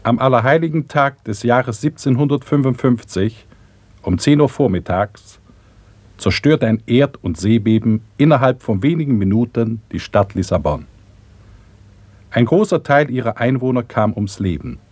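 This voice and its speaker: A man narrating a radio drama in a measured, factual way. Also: mid-range voice, lively intonation, darkish tone, dry recording.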